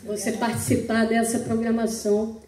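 A Brazilian woman hosting a poetry evening on a small auditorium stage, speaking slowly and deliberately. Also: a little high, monotone, mellow. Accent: Brazilian Portuguese. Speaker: event host. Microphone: stand mic, recorded by a far camera. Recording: very echoey hall, far off, guitar behind.

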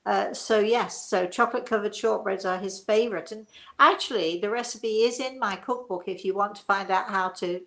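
A woman in her sixties chatting casually as host of her own show, rambling and effusive as she drifts off on tangents. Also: mid-range voice. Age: 60s. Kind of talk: casual chat. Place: living room, indoors.

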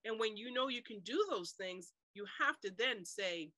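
Woman, middle-aged, interview guest, animated, bright tone, dry sound, webcam audio. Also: a little high, normal speed, no echo. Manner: animated storyteller, laughs often, acts out inner voice. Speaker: guest author. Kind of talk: conversational interview. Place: room with blue cloth backdrop. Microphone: webcam on video call.

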